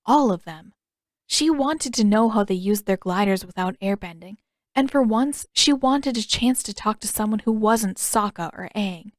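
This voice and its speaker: Woman reading a story audiobook style, mid pitch, super dry recording. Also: lively intonation, normal speed, no echo. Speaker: audiobook narrator. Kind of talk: scripted fiction narration.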